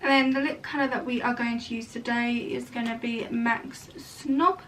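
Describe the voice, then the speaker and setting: A young woman chats her way through a makeup tutorial, wandering into casual asides as she works. Her voice sits high and sounds a touch croaky. She is recorded at home from a little way off the mic, with a faint hiss underneath. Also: steady tone, normal speed, a bit bright, far from the mic, poor audio. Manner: chatty, rambling asides. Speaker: makeup vlogger. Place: room at home.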